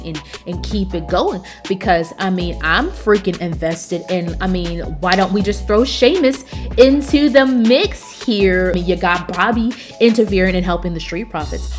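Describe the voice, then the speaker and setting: An excitable woman recaps the week's wrestling in a loose, chatty way, doubling up words when she gets fired up. Her voice sits at an everyday middle pitch, with soft music playing quietly behind her. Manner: enthusiastic, emphatic, repeats words for emphasis; casual slangy phrasing. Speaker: wrestling review show host. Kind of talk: casual recap and commentary.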